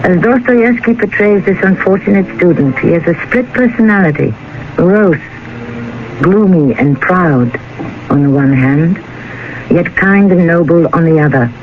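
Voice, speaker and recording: Male radio narrator, high and gravelly, measured dramatic delivery, noisy old broadcast sound. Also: narrow, tinny audio. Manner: solemn, measured, ominous. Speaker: host and narrator.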